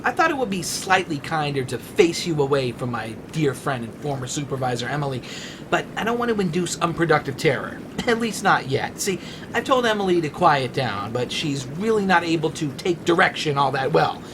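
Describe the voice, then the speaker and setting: An actor playing a smug, cheerfully menacing scientist captor in an audio drama, pompous and mock-polite. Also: male voice, on the high side, sing-song, brisk, noisy background.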